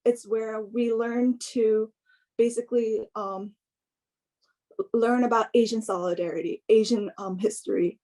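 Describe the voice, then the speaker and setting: A young woman giving an informal personal talk in a hesitant, halting way, often filling in with "like". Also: a little high, fairly even intonation, dark tone. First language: English. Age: young adult.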